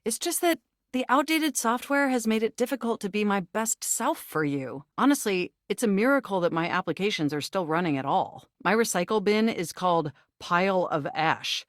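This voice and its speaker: Dating game character: woman playing an anxious, earnest desktop computer, precise and wordy, very animated delivery, dry close sound. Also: medium pitch, normal speed, no echo, lightly acted. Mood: tactful, aggrieved. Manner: formal, wordy, precise, nerdy diction. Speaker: video game character voice actor. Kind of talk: scripted dating game dialogue.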